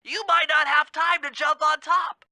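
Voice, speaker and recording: A man's voice: extremely high pitch, little pitch movement, moderate pace, pushed and bright, no echo, studio quality, exaggerated voice. A man voicing a brainy, anxious animal gadget expert in a cartoon video game, nasal and precise in formal technical phrasing. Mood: alarmed.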